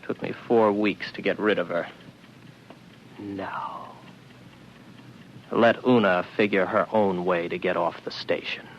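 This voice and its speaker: A man's voice: low voice, steady tone, slow pace, pauses often. An actor playing a lonely, weary young man on a space station, wry and musing, in the style of 1950s radio drama. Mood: tired, musing. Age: 20s. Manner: wry, musing monologue addressed to listeners. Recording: dull, noisy vintage radio recording.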